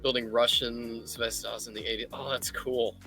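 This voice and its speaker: A man's voice: medium pitch, level tone, normal speed, over music, rough recording. A man hosting a casual live painting tutorial, thinking aloud and rambling as he works. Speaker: livestream host teaching miniature painting.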